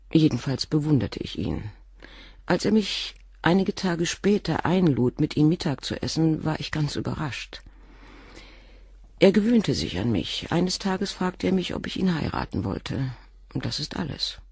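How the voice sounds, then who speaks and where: An actress playing a composed middle-aged doctor's wife and former nurse, speaking frankly and in a measured way in a radio drama. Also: female voice, low voice, sing-song, gravelly, a little mellow, slightly rough, dry recording, studio quality, heavily acted. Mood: candid. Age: 40s. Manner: measured, frank, controlled confession. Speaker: witness questioned by the inspector.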